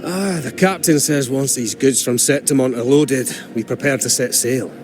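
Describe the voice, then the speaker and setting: A man's voice: mid-range voice, normal speed, natural voice. A man doing a folksy old dockhand. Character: friendly older male dockhand who calls the hero lad. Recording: game audio with music underneath.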